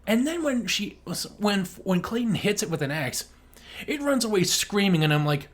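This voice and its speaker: A man with a slightly high voice chats casually as a podcast co-host. He picks things apart with sarcasm, in loose conversational movie-review talk. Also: animated, moderate pace.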